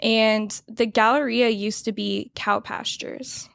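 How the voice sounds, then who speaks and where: A woman's voice: fairly high pitch, steady tone, vocal fry, a bit bright, no echo, clean studio sound. A woman from the United States with no accent, hosting a podcast in a chatty, upbeat way. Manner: chatty, upbeat, quick to react. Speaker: podcast host. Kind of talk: casual interview chat.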